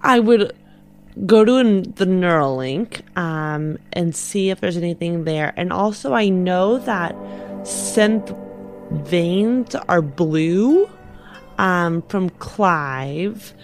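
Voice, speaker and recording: Woman rpg player, really unhurried, casual, thinking out loud, faint music behind. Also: lowish voice, animated. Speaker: tabletop game player. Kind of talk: improvised roleplay, casual.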